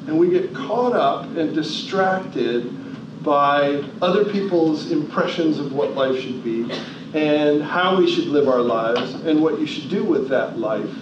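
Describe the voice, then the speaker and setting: A man's voice: on the high side, expressive, a little slow. A man in his forties from New Jersey, giving a motivational talk with emphatic rhetorical questions and shifting pace. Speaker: motivational speaker. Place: large hall. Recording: echoey, distant, dull hall recording with noise.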